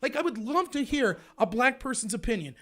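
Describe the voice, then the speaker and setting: A man hosting a live political show, ranting in a loud, indignant voice. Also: very high voice, big pitch swings, fairly quick. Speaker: livestream show host. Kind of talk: heated political commentary.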